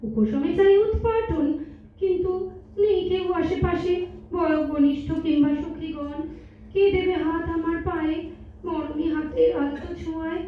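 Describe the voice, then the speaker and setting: A woman's voice: extremely high pitch, a little slow. A woman playing a coy, delicate young beloved, speaking in melodramatic rhyming verse in a stage audio drama. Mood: plaintive, teasing. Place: multipurpose hall stage, live performance. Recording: echoey hall, distant muffled mic, background noise.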